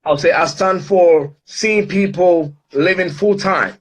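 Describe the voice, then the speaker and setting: A man's voice: sits high, slow pace, a little hiss or hum. Upbeat motivational man on a call. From Ghana. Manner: upbeat, motivational, many fillers. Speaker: guest speaker, entrepreneur. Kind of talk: casual online interview.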